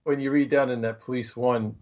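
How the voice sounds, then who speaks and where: A man's voice: mid-range voice, fairly even intonation, brisk. A man co-hosting a podcast in casual, informal chat, repeating words for emphasis, on slightly dull lo-fi audio. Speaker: podcast co-host.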